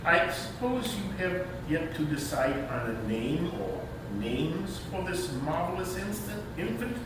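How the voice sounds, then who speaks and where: A distant, noisy hall recording of a man acting a pompous middle-aged uncle. He speaks slowly and formally, with sardonic stage-comedy weight on his words. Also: very animated. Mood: gracious, pompous. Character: pompous, wordy bachelor uncle of forty-two, easily affronted. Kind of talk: staged readers theater comedy. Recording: echoey hall, far-off mic, clear rumbling noise.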